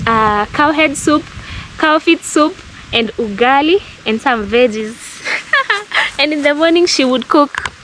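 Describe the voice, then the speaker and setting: A woman's voice: on the high side, a bit bright, a little hiss or hum, rough recording. A young Kenyan woman with a Kenyan accent, casually recalling her childhood to camera as a vlogger, in a reflective, reminiscing way.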